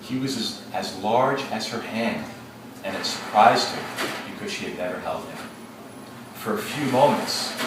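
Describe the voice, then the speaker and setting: Deep-voiced man reading aloud in an echoey classroom. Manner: slow, deliberate storybook reading with pauses to think aloud. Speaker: teacher. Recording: echoey classroom from far off, background noise.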